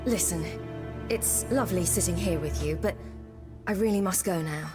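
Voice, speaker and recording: A woman's voice: on the low side, normal speed, close to an everyday voice. An actress playing a resolute young warrior woman in a fantasy game, polite but reserved. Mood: guarded, wistful. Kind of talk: dramatic video game acting. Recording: music behind the voice.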